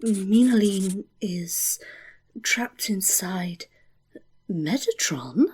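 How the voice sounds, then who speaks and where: Husky, unhurried female fate, played by an actress. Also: mid-range voice, lively intonation, a little mellow, exaggerated voice. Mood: intent. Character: Lachesis, brisk, decisive adult female fate of heaven. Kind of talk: fantasy audio drama dialogue.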